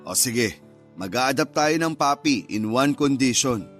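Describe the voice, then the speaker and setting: A doting young girl's father played by a man in a radio drama, with a medium-pitched voice. He jokes and coaxes playfully over soft music. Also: expressive, measured pace. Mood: agreeable. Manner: playful, coaxing. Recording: music bed under the voice.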